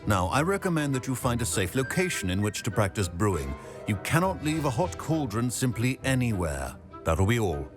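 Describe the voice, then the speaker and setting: A man's voice: medium pitch, very animated, moderate pace, lightly acted. An actor playing a strict male professor in a video game, lecturing in a stern, clipped manner. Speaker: potions professor. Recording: game audio with music.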